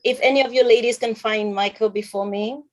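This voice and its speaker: A woman hosts an online forum over a video call. She talks briskly and chats freely, thinking aloud as she sorts out the screen sharing. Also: on the high side, steady tone. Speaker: forum host. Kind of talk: casual video-call moderating. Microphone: computer on a video call.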